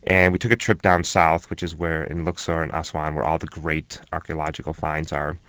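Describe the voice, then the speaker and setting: A man answering interview questions in a relaxed, thoughtful way, with frequent ums and restarts. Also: extremely low pitch, a little fast, no room sound. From born in Germany to Egyptian parents. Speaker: interview guest, researcher.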